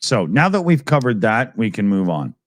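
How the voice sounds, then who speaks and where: A man's voice: lowish voice, sing-song, normal speed. A Canadian man co-hosting a podcast, chatting casually, joking and swearing often. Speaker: podcast co-host. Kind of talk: casual chat.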